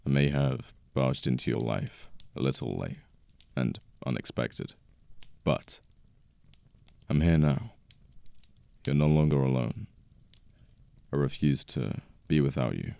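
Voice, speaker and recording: A man acting a possessive yet protective mafia boss in an ASMR romance roleplay, with a British accent, a deep gravelly voice and an intimate manner full of sighs and pauses. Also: dark tone, dry recording, heavily acted. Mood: tender, protective. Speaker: voice actor. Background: birdsong, outdoor ambience effects.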